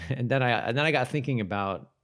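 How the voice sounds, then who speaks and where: A man's voice: mid-range voice, expressive, brisk, fairly bright tone. A man co-hosting a podcast in casual TV recap chat, talking in a rambling, self-correcting way. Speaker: podcast co-host.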